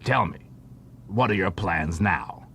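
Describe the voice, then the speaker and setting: A man's voice: lively intonation, slow, pushed and bright, breathy edge, heavily acted. A man voicing a stern, sceptical station constable with a formal, clipped, interrogating manner in an audiobook. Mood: stern, probing. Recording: noisy track with low rumble.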